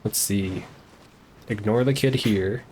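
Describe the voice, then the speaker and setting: A man's voice: fairly low pitch, takes it slowly, a little mellow, a little hiss or hum, poor audio. A man streaming a video game, giving easygoing, casual commentary as he plays and talks to his viewers. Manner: easygoing, chatting to viewers and himself. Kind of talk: casual live gameplay commentary.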